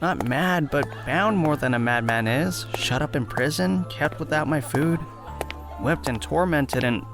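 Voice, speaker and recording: A man's voice: on the high side, measured pace, over music. A man playing a lovesick, brooding, melodramatic young nobleman in a Shakespearean audio play. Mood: wry, tormented.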